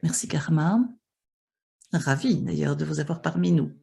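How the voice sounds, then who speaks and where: A woman's voice: low-pitched, moderate pace, creaky, dark tone, husky. A woman interpreting a conference panel live, with many hesitations and restarts. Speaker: simultaneous interpreter for an online panel.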